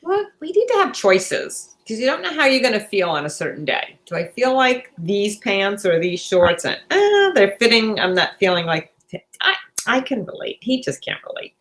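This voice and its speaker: Expressive woman in casual conversation. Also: mid-range voice, moderate pace. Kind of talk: casual chat.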